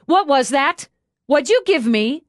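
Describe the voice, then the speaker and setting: Woman narrator as tough lady cop, high excited pitch, swooping delivery, brash, exclamatory, goofy edge, audiobook character. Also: unhurried, dry recording, studio quality. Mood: cranky, alarmed. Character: tough, foul-mouthed policewoman turned giddy and goofy by painkillers.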